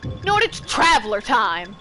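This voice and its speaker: A woman's voice: fairly high pitch, very animated, normal speed, sharp tone, a little hiss or hum. A woman giving casual gameplay commentary, complaining in slang with exasperation. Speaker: gamer recording a playthrough.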